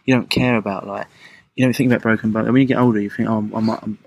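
Gravelly low-voiced podcast host. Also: male voice, fairly even intonation, very fast, dry recording, muffled recording. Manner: chatty, rambling, asks lots of questions. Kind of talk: podcast conversation.

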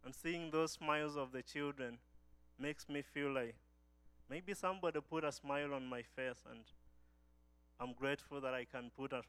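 A man's voice: mid-range voice, fairly even intonation, normal speed, fairly bright tone, clear, resonant tone, no echo. A young man from Uganda giving an earnest church testimony as a guest speaker, often restarting his phrases.

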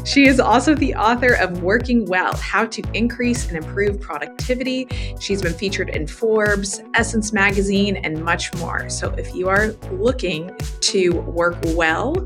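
A woman hosting a podcast, chatting casually with a guest and adding playful emphasis. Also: medium pitch. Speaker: podcast host. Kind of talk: casual interview chat.

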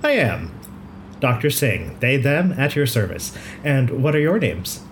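An actor, a man, playing a kindly, brisk and chatty pathologist in an audio drama. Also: mid-range voice, sing-song, slight background noise. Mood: kind, friendly. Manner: chatty, considerate, thinks aloud. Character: kindly, brisk hospital pathologist, nonbinary, friendly and curious.

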